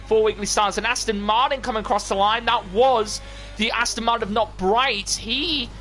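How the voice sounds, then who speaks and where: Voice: medium pitch, fairly even intonation, brisk, a bit bright. Sim racing commentator over music and engines. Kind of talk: live motorsport play-by-play commentary on a sim racing league stream.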